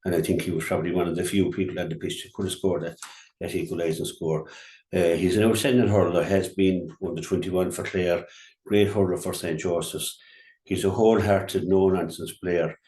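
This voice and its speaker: An Irish man giving an unscripted sports match review as a radio pundit, halting and repeating his words as he goes. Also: low voice, steady tone, warm tone. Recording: roomy, echoey sound.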